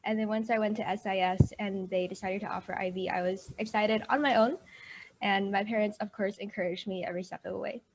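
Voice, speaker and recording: A woman's voice: medium pitch, steady tone, fairly quick, a little mellow, slight background noise, rough recording. A young woman with a Texan-tinged American accent, a university student speaking as a guest on a virtual panel, upbeat and chatty. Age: young adult. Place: at home in summer heat.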